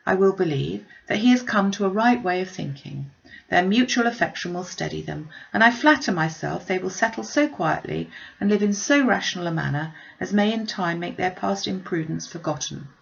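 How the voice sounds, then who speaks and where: A woman voicing a gentle, forgiving young gentlewoman in a classic novel, earnest and measured. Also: fairly low pitch, lively intonation, creaky, dull audio. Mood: hopeful. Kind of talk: classic novel read aloud.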